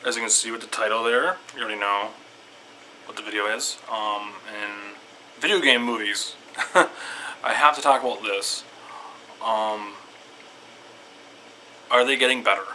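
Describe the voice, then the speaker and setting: A man giving casual, rambling opinions to viewers in an excitable fan's manner, often repeating himself. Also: lowish voice, a little slow, pauses often, a little hiss or hum, low-quality recording. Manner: excitable fan talk, repetitive, loosely structured. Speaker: video creator giving opinions.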